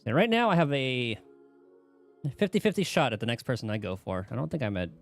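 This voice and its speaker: Male streamer, gravelly mid-range voice, lively pitch movement, casual halting game commentary. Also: faint music behind. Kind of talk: casual live gameplay commentary.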